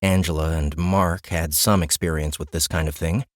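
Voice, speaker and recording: A man narrating a fantasy audiobook in a wry, expressive storytelling manner. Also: rumbling low, moderate pace, dry recording, clean studio sound.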